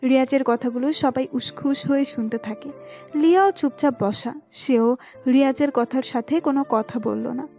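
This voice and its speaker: A woman's voice: high voice, moderate pace, warm tone. A woman reading scripted narration for a romantic story. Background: music.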